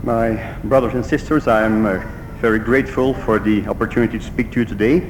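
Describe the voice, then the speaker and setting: A middle-aged man whose native tongue is Dutch speaks with a Belgian accent, delivering a measured talk to a congregation. His voice sits a little low and carries a long reverb from the hall, with a faint rumble underneath. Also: steady tone. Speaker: church speaker.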